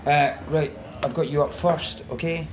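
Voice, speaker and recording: An actor playing a brisk, offhand male compere at a comedy night. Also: on the high side, steady tone, crowd noise, natural voice. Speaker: comedy club host.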